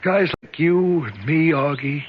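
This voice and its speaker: A man's voice: a little high, expressive, unhurried. An actor playing an older male convict who claims centuries of escapes, earnest, dreamy and rambling. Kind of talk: 1970s radio drama acting. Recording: muffled old radio recording, faint hiss.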